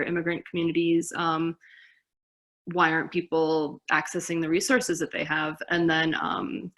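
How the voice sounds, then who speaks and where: A young woman from Minnesota co-presenting a webinar, calm and reflective with some hesitations. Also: lowish voice. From Minnesota, United States.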